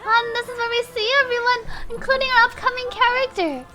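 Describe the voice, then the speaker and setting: Girl streamer reacting to a game, super high voice, reads subtitles out, music playing behind. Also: steady tone, a little fast. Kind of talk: live reaction commentary, reading subtitles aloud.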